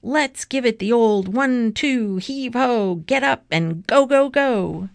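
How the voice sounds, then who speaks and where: A woman's voice: fairly low pitch, very animated, unhurried, a little mellow, no echo. A woman voicing an encouraging father who coaches a children's team and gets carried away, in a storybook reading. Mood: fired up. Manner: encouraging, rallying.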